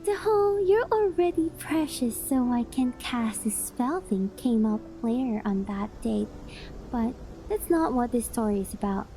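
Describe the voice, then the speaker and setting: A woman's voice: on the high side, animated, a little slow, dark tone, soft background music. A young woman voicing a possessive, doting fox-spirit wife in a cutesy, affectionate ASMR roleplay. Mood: fond, reminiscing. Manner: cutesy, clingy, affectionate, with breathy gasps and giggles. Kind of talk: ASMR roleplay, addressing the listener.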